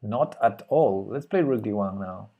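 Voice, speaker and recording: A man's voice: lowish voice, lively intonation, unhurried, phone-quality sound. A man with a Spanish accent streaming live chess, thinking aloud and hesitantly muttering his moves. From Spain. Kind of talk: live chess commentary while playing.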